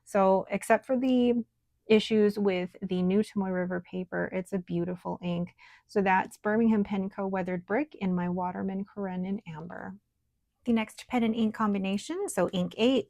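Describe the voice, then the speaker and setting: A woman with a scratchy, hoarse voice, chatting casually as a stationery vlogger while she writes and paints. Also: fairly low pitch, no echo. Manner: chatty, relaxed, thinking aloud while working. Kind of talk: casual show-and-tell while writing and painting.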